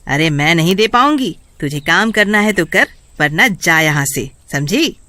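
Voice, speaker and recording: A woman acts out a miserly mistress of the house in a folk tale. She scolds curtly and dismissively, her pitch jumping about in a very animated way. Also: unhurried, no room sound. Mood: irritated, dismissive. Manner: curt, scolding.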